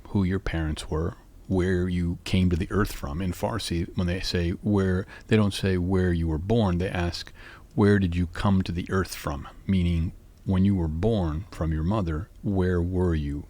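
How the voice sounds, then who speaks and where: A man in his fifties from North Carolina, hosting a rambling solo monologue in a sleepy, calm and even voice. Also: measured pace. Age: 50s. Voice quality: low, sleepy voice. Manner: calm, musing, even delivery. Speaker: podcast host.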